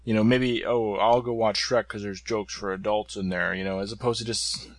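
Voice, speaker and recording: Low, gravelly man, hesitant. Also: steady tone, moderate pace, no room sound. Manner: hesitant, searching for words, many "uh" and "um". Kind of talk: casual chat.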